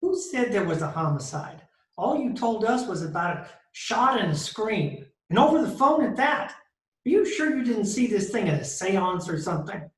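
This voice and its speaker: Man acting as homicide cop, skeptical, exasperated, animated pitch, radio play, roomy sound. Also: moderate pace. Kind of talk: old-time radio detective drama acting. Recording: roomy home remote recording.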